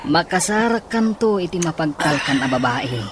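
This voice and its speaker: A man's voice: on the high side, lively intonation, moderate pace, natural voice. An actor playing a brotherly man consoling his heartbroken buddy in a radio drama. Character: male drinking buddy consoling a heartbroken friend. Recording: noisy, with ambient sound.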